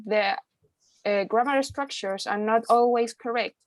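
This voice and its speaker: A woman's voice: on the high side, a little slow, dry recording. A young woman, a university student explaining her views in a class discussion.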